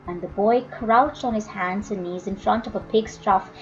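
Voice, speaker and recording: A woman reading a story aloud as an expressive audiobook narrator. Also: medium pitch, moderate pace, highs cut off, soft background music.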